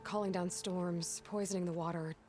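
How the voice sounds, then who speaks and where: A determined young heroine with a slightly low voice , acted by a woman. She is curt, plain-spoken and resolute, in the natural style of a game cutscene, with music clearly behind her. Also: steady tone, moderate pace, natural voice. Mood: grave, resolute.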